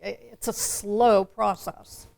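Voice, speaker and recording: Woman panelist, blunt and animated, slight vocal fry. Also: mid-range voice, takes it slowly. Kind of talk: panel discussion.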